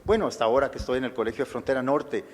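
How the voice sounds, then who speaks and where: A man's voice: mid-range voice, rapid-fire. Older Chicano man as historian answering questions, reflective, restarts phrases, reverberant hall. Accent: Chicano Spanish. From United States. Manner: thoughtful, with frequent restarts and fillers. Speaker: guest lecturer, historian. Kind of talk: lecture answering audience questions. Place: lecture hall. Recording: lecture hall with long reverb.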